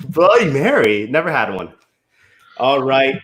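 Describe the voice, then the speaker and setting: An American man hosting a party pitch game on a video call, teasing and mock-stern with his questions. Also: big pitch swings, measured pace, fairly bright tone, a little hiss or hum. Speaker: game host.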